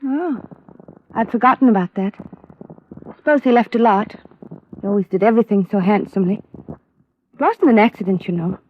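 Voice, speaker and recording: A woman's voice: medium pitch, normal speed, long pauses, lightly acted. An actress playing a troubled, bitter young daughter in an old-time radio drama, blunt and defiant in her speech. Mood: wary, brooding. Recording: dull, noisy vintage radio recording.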